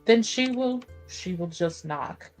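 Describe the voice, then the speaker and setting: A woman's voice: medium pitch, expressive, slow, faint music behind. A woman playing a tabletop roleplaying game, chatting and narrating her character's actions in a playful, slangy, casual way.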